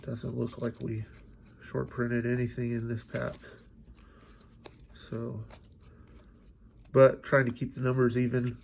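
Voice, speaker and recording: A man's voice: mid-range voice, gravelly, darkish tone, slight background noise. A congested, stuffy-sounding man opening card packs and chatting casually, musing aloud with lots of fillers. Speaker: card collecting channel host. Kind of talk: casual pack-opening commentary.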